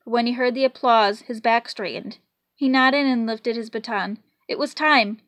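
Female storybook reader, high-pitched and expressive, close dry sound. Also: normal speed, dry recording. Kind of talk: expressive children's picture-book read-aloud. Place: indoors in a room at home.

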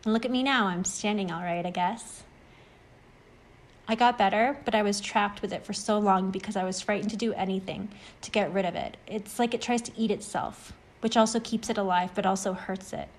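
A woman's voice: mid-range voice, fairly even intonation, a little fast, lightly acted. Woman acting a reassuring, talkative friend. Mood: earnest. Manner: encouraging, explanatory. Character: reassuring, talkative friend who overcame extreme anxiety. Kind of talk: acted short-story dialogue reading.

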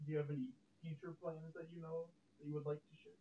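Higher-voiced man host, echoey lo-fi recording. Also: flat delivery, quick pace. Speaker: interview host. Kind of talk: casual interview questions. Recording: echoey, dull, with faint hiss.

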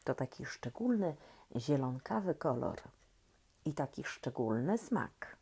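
A woman who hosts and reads stories for young children, gently and playfully, asking questions and leaving expressive pauses. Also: very deep voice, creaky, slightly rough. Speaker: children's story reader and host. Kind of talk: reading aloud to young children, talking to the listeners.

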